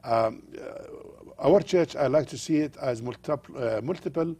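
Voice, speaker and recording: Older Jordanian-accented male pastor, gravelly, husky tone, earnest and halting, for an interview guest. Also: mid-range voice, warm tone, no echo. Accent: Jordanian Arabic. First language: Arabic. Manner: earnest, halting with frequent fillers.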